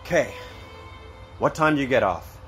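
A man's voice: mid-range voice, animated, a little slow, fairly bright tone, faint music behind. A man playing a cocky, teasing American teenage boy in a naturalistic audio drama, with sarcastic banter. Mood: taking charge. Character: cocky, teasing, foul-mouthed teenage boy, loyal boyfriend. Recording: clear background ambient noise.

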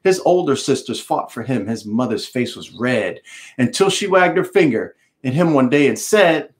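A middle-aged American man giving an expressive storybook read-aloud with explanatory asides, speaking emphatically with dramatic pauses. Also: mid-range voice. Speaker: author reading his book aloud. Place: home room with bookshelves, indoors.

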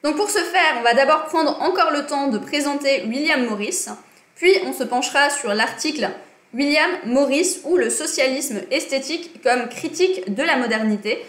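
Young woman rambling through a live lesson at her desk, a bit high pitched, echoey little room. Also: a bit bright. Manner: chatty, many fillers and self-corrections. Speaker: livestream host. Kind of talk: casual livestream talk on design and politics. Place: small room indoors.

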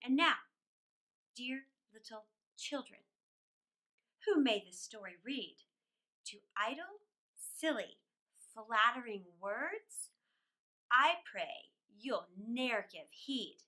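A middle-aged woman reading a poem aloud for children as a storytime host, theatrically, with dramatic pauses. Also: a little high, animated, pushed and bright, rough recording. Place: small indoor room with plain wall.

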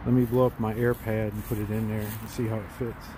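A man in his forties gives a relaxed, plain-spoken walkthrough of a tent, filming himself on a phone outdoors in a backyard. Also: fairly low pitch, fast. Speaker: gear reviewer. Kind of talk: casual product walkthrough. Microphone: phone camera, handheld and at arm's length. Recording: phone recording outdoors with background noise.

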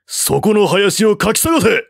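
A man's voice: sits high, sing-song, a little slow, gravelly, no room sound, voice pushed up, heavily acted. Male narrator as proud, hot-headed general, storybook war epic, taunting commands, dry recording. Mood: furious. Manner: taunting, cursing, commanding shouts. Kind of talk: dramatic audiobook character reading.

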